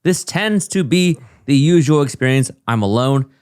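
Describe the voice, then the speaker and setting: A man's voice: medium pitch, animated, moderate pace, a little mellow, smooth, no room sound. A man co-hosting a comedy podcast, reading a listener's email aloud in a dry, matter-of-fact way with joking asides. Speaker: co-host.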